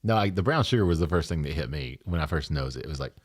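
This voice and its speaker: A man's voice: rumbling low, fast. A man hosting a casual tasting chat in a podcast studio, joking and handing the floor to each guest in turn. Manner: lively, joking, hands the floor round. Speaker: podcast host.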